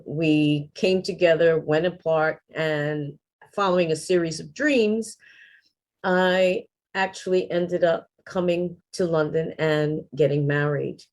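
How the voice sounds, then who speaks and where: Lower-voiced New woman. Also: darkish tone. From New York. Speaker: guest. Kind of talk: interview answers.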